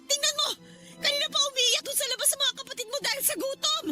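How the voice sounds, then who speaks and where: An embittered radio-drama wife and mother acted by a woman, with an extremely high, bright voice. She nags and accuses as a poor, worn-down parent would, over light music. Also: steady tone, fast, heavily acted. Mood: accusing. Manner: scolding, accusing. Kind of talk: Tagalog radio drama acting. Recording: music behind the voice.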